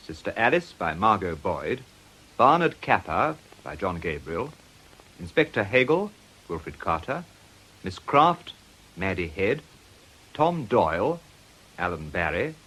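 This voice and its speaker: A man with an English accent giving a formal, scripted announcement as a radio announcer, in a husky voice. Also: lowish voice, fairly bright tone, poor audio.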